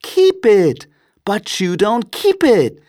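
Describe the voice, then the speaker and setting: A man voicing a cheerful, warm-hearted young nephew in a storybook reading, eager and exclamatory. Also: high-pitched, wildly swooping pitch, slow, pitched above the performer's own voice, exaggerated voice. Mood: good-natured challenge.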